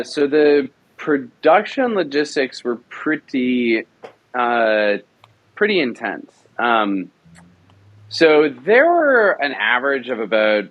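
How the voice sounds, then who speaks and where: A man, a radio drama producer, explaining the logistics calmly with frequent fillers. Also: medium pitch, no echo, low-quality recording. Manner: measured explanation with many fillers. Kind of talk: interview conversation.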